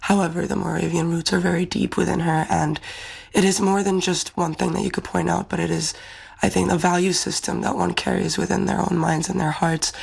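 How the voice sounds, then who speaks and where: A woman's voice: lowish voice, flat delivery, fairly quick, vocal fry, a little mellow, husky. A young woman from the Czech Republic, a pageant winner answering interview questions in a thoughtful, earnest way. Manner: thoughtful, earnest, fluent English speaker.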